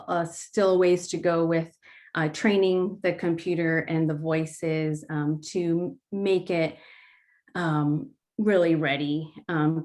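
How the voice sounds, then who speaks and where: A woman founder giving a casual pitch talk, speaking slowly with many filler ums. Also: a little mellow. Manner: slow-talking, many ums. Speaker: startup founder presenting.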